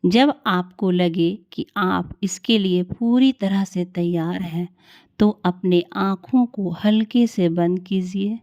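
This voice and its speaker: Slow, low-voiced woman guiding meditation. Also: no room sound, clean studio sound. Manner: calm, soothing, unhurried instruction with long pauses. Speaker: meditation guide. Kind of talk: guided meditation in Hindi.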